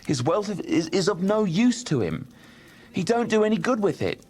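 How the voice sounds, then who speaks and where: A man's voice: high voice, big pitch swings, moderate pace, a little mellow. A man playing a jovial, good-hearted young Victorian nephew with a hearty, chuckling manner in an audiobook drama. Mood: cheerful, forgiving.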